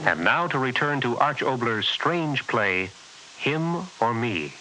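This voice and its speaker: A man announcing a radio play in a deliberate, portentous, dramatic style. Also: medium pitch, big pitch swings, sharp tone. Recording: very noisy old radio recording.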